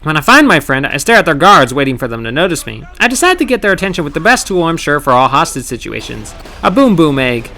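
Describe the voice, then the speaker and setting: A man narrating his own gameplay challenge in scripted voice-over, wry and sarcastic with playful dramatic pauses. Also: fairly high pitch, sing-song, bright, forceful tone. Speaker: gaming channel host. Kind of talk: scripted comedic game commentary narration.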